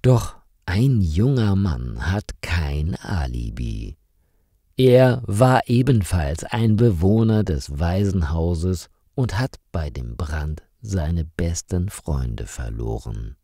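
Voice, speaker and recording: A man reading a scripted story for language learners in a measured, carefully articulated storytelling manner. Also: low voice, very animated, warm tone, dry recording, studio quality. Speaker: narrator of stories for language learners.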